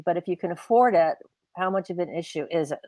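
Older female author, low-set voice, reflective and explanatory, casual interview over a dry-sounding video call. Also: fast talker, a little mellow, no room sound. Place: indoors at home on a video call. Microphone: wired earbuds on a video call.

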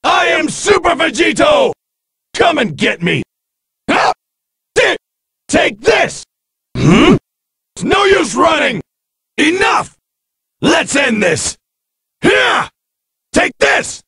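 An actor voicing a cocky fused male warrior in a video game, with a raspy, layered voice, taunting and shouting battle cries in a studio recording. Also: very high voice, huge pitch swings, slow, long pauses, no room sound, heavily acted. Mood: fierce battle shouts.

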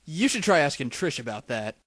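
A man voicing a cocky, deadpan detective, higher-pitched. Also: fairly quick, dry recording. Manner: deadpan, wisecracking. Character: flippant, cocky male detective-for-hire. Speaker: voice actor in a fan-made anime parody dub. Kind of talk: deadpan parody dub acting.

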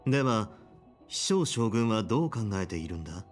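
Male fantasy-game general: low, composed, deliberate, formal, with music underneath. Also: very animated. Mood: questioning. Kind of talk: restrained game voice acting.

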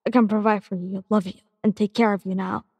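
A desperate young male hero pleads and confesses his love in a storybook reading, as a woman reads his lines. The voice is soft and breathy, with a long reverb tail. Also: mid-range voice, steady tone, brisk. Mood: pleading. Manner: pleading, emotional.

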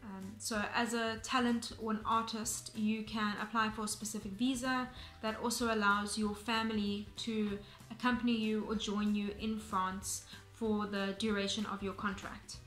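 A woman's voice: medium pitch, level tone, normal speed, fairly bright tone, reverberant, faint music behind. A young South African woman in her twenties, chatting to camera in a casual, explanatory way with frequent ums and restarts. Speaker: vlogger. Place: bright room indoors, seated on sofa.

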